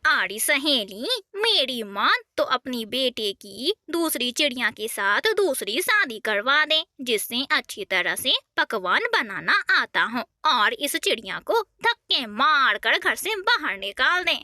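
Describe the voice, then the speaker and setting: A woman's voice: high voice, big pitch swings, takes it slowly, few pauses, sharp tone, no echo, studio quality, full character voice. A woman voicing a spiteful, gossiping female crow in a cartoon. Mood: mocking. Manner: mocking, meddling.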